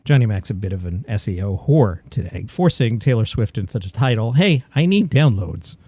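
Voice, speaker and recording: A man's voice: lowish voice, animated, normal speed, dark tone, slightly rough, no echo. A man hosting a comedy news podcast, reading stories and adding wry, opinionated asides. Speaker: podcast host. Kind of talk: comedy news commentary, partly scripted.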